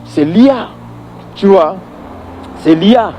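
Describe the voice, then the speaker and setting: A man's voice: sits high, expressive, slow pace, mellow, a little hiss or hum. A man giving an informal, emphatic opinion monologue to viewers, conversational and prone to repeating his phrases for weight.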